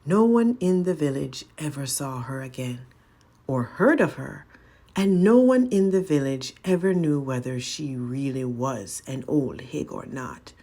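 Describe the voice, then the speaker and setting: A woman storyteller with a low voice and plenty of fry. She narrates a folk tale slowly and dramatically, as a read-aloud. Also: lively intonation, mellow.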